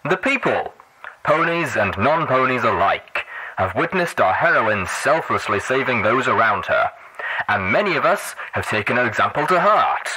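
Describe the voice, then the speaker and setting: A man's voice: mid-range voice, big pitch swings, normal speed, sharp tone, low-quality recording. A man voicing a fervent, theatrical radio DJ pony in an audiobook, rousing and preacherly, through a radio filter.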